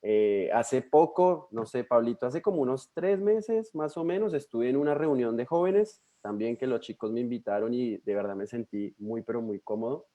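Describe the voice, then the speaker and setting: A young man with a Colombian accent speaks to a congregation over a video call. His voice is mellow and relaxed, with a middle pitch. He talks in a friendly, chatty way, full of fillers and restarts. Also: normal speed, few pauses. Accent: Colombian Spanish. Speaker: missionary guest speaker. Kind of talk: warm personal introduction to a church.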